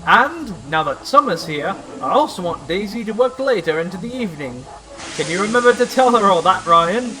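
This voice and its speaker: A woman playing an authoritative, kindly male railway controller in a children's audio drama, formal and measured. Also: mid-range voice, lively intonation, close to an everyday voice, rough recording. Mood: businesslike.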